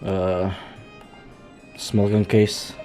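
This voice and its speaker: Casting: male streamer, low mellow voice, muttered casual reactions to a game, faint music underneath. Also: little pitch movement, very slow. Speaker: live streamer. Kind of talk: casual gaming commentary.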